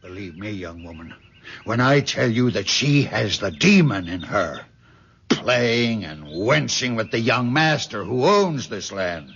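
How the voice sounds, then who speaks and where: A man's voice: fairly low pitch, very animated, measured pace, a bit bright, slightly rough, exaggerated voice. An actor playing a stern, controlling old settler father with archaic, accusing speech in an old-time radio drama. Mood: accusing, bitter. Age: older. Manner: archaic, theatrical, denouncing speech. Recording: dull vintage radio recording.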